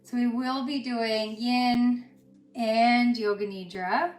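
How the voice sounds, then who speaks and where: A woman teaching yoga and guided meditation in a soothing, unhurried way, pausing between short phrases. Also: fairly high pitch, fairly even intonation. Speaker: yoga instructor. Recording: roomy echo with music underneath. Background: music.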